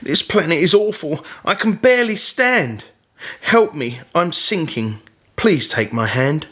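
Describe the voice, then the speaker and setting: Discontented young boy for a storybook, acted by a man: whiny, sulky, dramatic complaints, drawn-out pace. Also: fairly high pitch, sing-song, a bit bright, voice pushed up, exaggerated voice. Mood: pleading, distressed.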